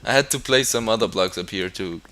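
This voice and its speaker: A man's voice: fairly low pitch, a little fast, bright, forceful tone. A young man from Denmark with a Danish accent, commenting casually on a building game. English is not his first language, and he hesitates with many fillers and restarts. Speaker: gamer.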